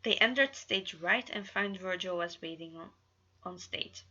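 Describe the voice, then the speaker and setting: A woman giving an amateur, expressive reading of a story, now and then stumbling over words. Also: on the low side, unhurried, muffled recording. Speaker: fan fiction narrator.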